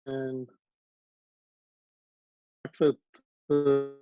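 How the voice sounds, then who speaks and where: A man's voice: medium pitch, monotone, drawn-out words, clear, resonant tone, highs cut off, faint music behind. A man, telling his story reflectively in an interview over a video call, with many ums and restarts. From Pakistan. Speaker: psychiatrist guest.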